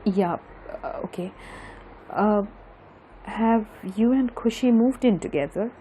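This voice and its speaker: A woman's voice: mid-range voice, slow pace, dark tone, husky. A woman with an Indian Bengali accent playing a lovelorn young woman confiding in her best friend in an audio drama. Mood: earnest.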